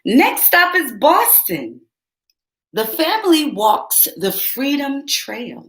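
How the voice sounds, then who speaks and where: A woman's voice: high voice, very animated, takes it slowly, fairly bright tone. A woman reading a picture book aloud to young children, turning to ask her listeners questions along the way. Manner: speaks directly to young listeners, asks them questions. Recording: roomy echo.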